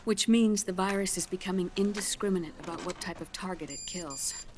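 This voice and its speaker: A woman playing a grave scientist in a video game cutscene, explaining grim findings in a measured, solemn way. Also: fairly low pitch, noisy background, close to an everyday voice. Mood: clinical, explanatory. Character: grave female scientist delivering a grim diagnosis.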